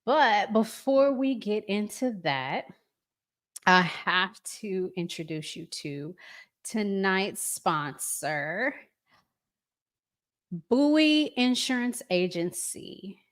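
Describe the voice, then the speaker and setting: Slow-talking woman hosting a live stream. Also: medium pitch, animated. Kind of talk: casual commentary to viewers.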